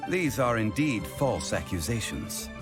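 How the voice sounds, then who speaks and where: Deep-voiced, dignified ancient god. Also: male voice, big pitch swings, over music. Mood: explanatory, thoughtful. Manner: formal, measured, erudite. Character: ancient, dignified, scholarly god in human guise. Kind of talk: scripted video game dialogue.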